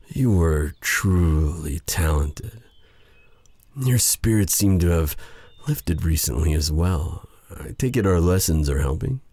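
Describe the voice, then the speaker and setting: A man playing a feared dark sorcerer with a tender side, in a courtly, romantic fantasy ASMR roleplay style. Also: deep voice, animated, takes it slowly, breathy edge, dry recording, exaggerated voice. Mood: tender, teasing. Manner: courtly, formal phrasing with endearments. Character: feared dark sorcerer, tender and flustered toward his captive. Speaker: voice actor in audio roleplay.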